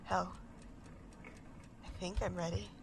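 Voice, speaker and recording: An actress playing a haunted, restless woman in an audio drama monologue, halting, intense and emotional. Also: fairly low pitch, level tone, gravelly, noisy background. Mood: anguished, determined. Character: haunted, restless woman desperate to recover her lost identity.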